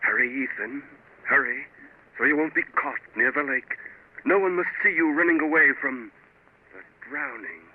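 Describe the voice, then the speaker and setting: A man's voice: mid-range voice, normal speed, slight background noise, natural voice. An actor playing an insidious inner voice that urgently tempts a man to evil, in a vintage radio drama. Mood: sinister urging. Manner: urgent, insinuating. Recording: tinny, phone-like vintage radio recording.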